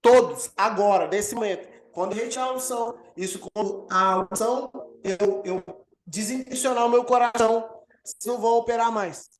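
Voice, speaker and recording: A man with a Brazilian accent, an apostle teaching a healing course over a video call, energetic and emphatic as he answers questions. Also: high voice, normal speed. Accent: Brazilian Portuguese. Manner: energetic preacher, swells and emphasizes key words. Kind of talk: live online teaching with Q&A.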